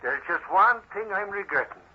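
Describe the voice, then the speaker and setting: A muffled vintage radio recording of an excitable reporter. His husky voice bobs up and down with folksy bluster. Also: high-pitched, normal speed. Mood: earnest, puzzled. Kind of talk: old-time radio crime drama acting.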